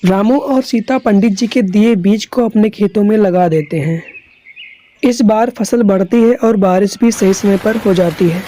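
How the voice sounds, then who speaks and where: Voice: medium pitch, a little slow, mellow, a little hiss or hum. A narrator reading a scripted children's story.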